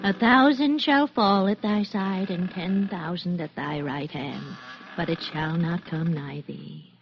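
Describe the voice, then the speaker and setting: A woman's voice: on the low side, slow, soft background music. An actress playing a self-righteous, scolding older mother in a radio drama, preaching in judgment. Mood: solemn, judging. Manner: preachy, reciting scripture in judgment. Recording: dull band-limited radio drama recording.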